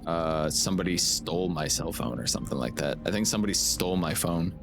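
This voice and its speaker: A man's voice: low voice, expressive, quick pace, creaky. A man livestreaming a video game, chatting in a casual, laid-back and sarcastic way with some swearing. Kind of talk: casual gaming commentary with swearing. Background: game music.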